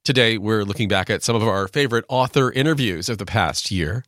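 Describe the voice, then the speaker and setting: A man's voice: fairly low pitch, brisk, a bit bright, no echo, clean studio sound. A man presenting a radio show as host, reading scripted introductions.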